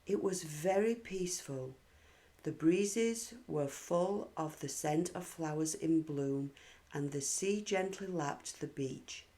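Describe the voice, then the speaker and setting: Female children's read-aloud narrator: expressive, unhurried, low-toned, telling a story to little kids. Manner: measured, animated storytelling for young children.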